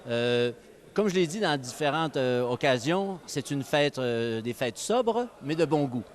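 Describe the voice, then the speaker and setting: A man with a Québécois French accent, a local official giving a press announcement, pausing often with fillers. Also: medium pitch, lively intonation. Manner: hesitant, many fillers.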